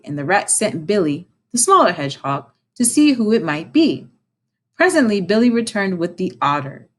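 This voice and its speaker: A woman reading a story aloud as a teacher, in an expressive narrating style. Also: lowish voice.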